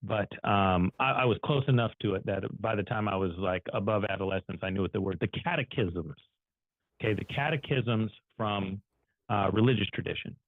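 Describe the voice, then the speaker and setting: A man's voice: lowish voice, normal speed, no echo. A man co-hosting an online show, telling personal stories in a casual, folksy, rambling and self-deprecating way. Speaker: co-host of an online finance show. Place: online video call. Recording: muffled phone-like call audio, dry.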